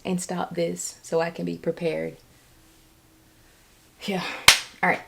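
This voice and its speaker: A woman's voice: fairly low pitch, level tone, slow, creaky, husky. An American woman talking candidly and reflectively in a casual personal vlog, repeating herself for emphasis. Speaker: vlogger.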